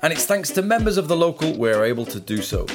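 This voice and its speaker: A man's voice: on the high side, very animated, fast talker, over music. A man hosting a news discussion podcast, presenting topics and putting questions to guests. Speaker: podcast host. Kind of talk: scripted introduction and news discussion.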